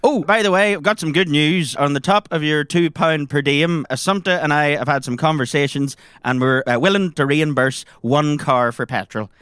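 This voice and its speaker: A man with a Northern Irish accent playing a chatty, eager character in improvised comedy, colloquial and animated. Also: fairly high pitch, moderate pace, hardly any pauses, clean tone, no echo, natural voice. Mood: matter-of-fact. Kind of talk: improvised comedy role-play.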